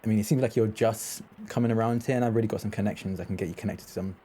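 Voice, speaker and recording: Composed vampire broker, acted by a man, fairly low, dark soft tone, persuasive, businesslike, measured, tabletop roleplay. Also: slightly rough. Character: smooth-talking male vampire information broker, composed, businesslike.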